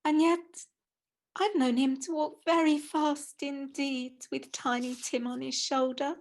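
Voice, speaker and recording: Actress as bereaved mother, radio play: high, slow, gentle, mournful. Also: fairly even intonation. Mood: wistful. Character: grieving, gentle mother mourning her little son. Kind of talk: vintage radio drama acting.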